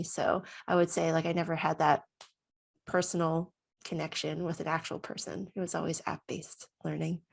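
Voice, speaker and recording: A woman in her thirties giving a casual, self-recorded testimonial in a chatty, expressive way, often voicing her own thoughts. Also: on the low side.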